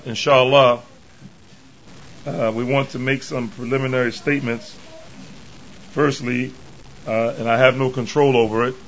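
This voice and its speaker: A man with a hoarse, worn voice gives a religious lecture to a congregation. He speaks haltingly, pausing and restarting often, and his pitch sits in a middle range. The recording sounds dull and muffled. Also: a bit bright, slight background noise. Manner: halting, with many pauses and restarts. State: tired from travel. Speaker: imam giving a lecture.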